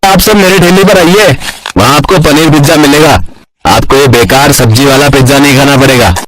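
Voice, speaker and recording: A man's voice: on the high side, brisk, a crowd in the background, close to an everyday voice, rough recording. Man acting a scornful, hot-tempered street vendor. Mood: contemptuous. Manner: scornful, bullying. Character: arrogant, jealous male street pizza vendor, hot-tempered and gruff. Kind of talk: Hindi cartoon story acting.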